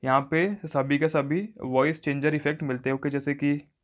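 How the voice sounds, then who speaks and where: A man's voice: a little high, steady tone, normal speed. A man giving an instructional phone tutorial voice-over, often repeating stock phrases. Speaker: tech tutorial host.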